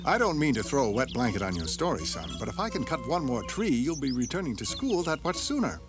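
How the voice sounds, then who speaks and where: A man voicing a stern but caring aging woodcutter father in an animated fairy tale. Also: medium pitch, quick pace, lightly acted. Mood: firm. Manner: scolding, grumbling, fatherly. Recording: music under the dialogue.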